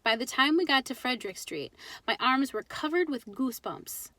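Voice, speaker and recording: High-pitched, lively woman reading aloud. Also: moderate pace, dry recording. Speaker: children's book reader. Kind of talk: animated read-aloud storytelling.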